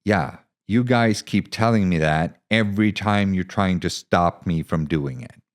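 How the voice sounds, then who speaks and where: A man's voice: sits low, unhurried, voice pushed up. A man voicing a frustrated, idealistic war journalist in an audio story, speaking earnestly and insistently. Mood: earnest, impassioned.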